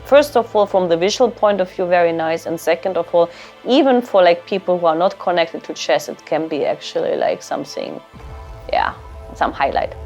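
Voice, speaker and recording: Low-voiced thoughtful woman. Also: moderate pace, a little mellow, lo-fi sound, soft background music. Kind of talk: documentary interview.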